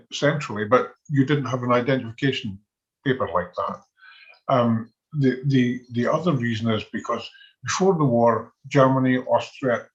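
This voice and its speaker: Halting older Scottish man, dull audio. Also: mid-range voice. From Scotland. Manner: halting, conversational, chuckles often. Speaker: military historian and former soldier. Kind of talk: informal talk on military history.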